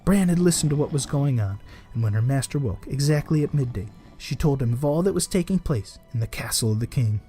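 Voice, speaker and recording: A man reading a folktale aloud as a storyteller, in expressive storybook narration. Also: medium pitch, fairly quick. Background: added music.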